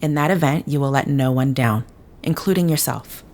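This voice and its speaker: A calm, analytical woman speaks in a very low register. Her phrasing is stilted and exact, like a formal guide in an audio drama. A faint rumble sits under the voice. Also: normal speed. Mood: measured, clinical. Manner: precise, stilted, without contractions. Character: calm, formal, analytical female companion guiding meditation. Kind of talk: scripted audio drama acting.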